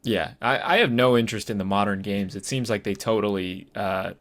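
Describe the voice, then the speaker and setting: A man's voice: on the low side, fairly quick, fairly bright tone. A man co-hosting a casual movie and game podcast, hesitant as he thinks aloud. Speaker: podcast co-host.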